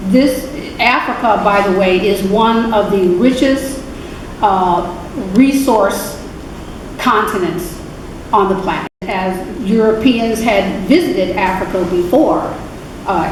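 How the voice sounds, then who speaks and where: A woman giving an informal history talk to an adult church class, unhurried, with many fillers and self-corrections. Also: mid-range voice. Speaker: guest speaker at adult faith class. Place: church meeting room. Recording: very echoey, distant, noisy room recording.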